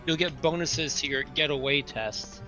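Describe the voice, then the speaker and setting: This is a man at a tabletop game, speaking casually and assertively as a player. His voice is a little high and bright. Light music plays behind him. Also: moderate pace.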